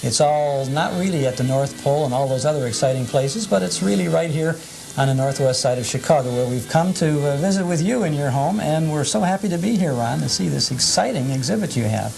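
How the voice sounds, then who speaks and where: A man's voice: fairly high pitch, animated, fairly quick, creaky, a little mellow, soft background music, poor audio. A man hosting a nostalgia TV show in an informal, folksy, chatty way with hesitant phrasing. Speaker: host.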